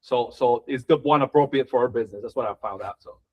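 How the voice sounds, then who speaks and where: A man's voice: medium pitch, fast, dull audio. Hesitant man chiming in at a meeting. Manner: hesitant, lots of uh and restarts. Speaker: real estate agent. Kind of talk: casual meeting chat.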